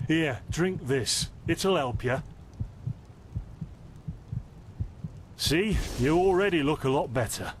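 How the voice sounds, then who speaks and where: A man's voice: a little high, expressive, a little slow, slight background noise. A man playing a kindly, anxious traveling apothecary in a fantasy game, chatty and earnest, with hesitant turns. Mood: busy, reassuring. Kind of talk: fantasy game character dialogue.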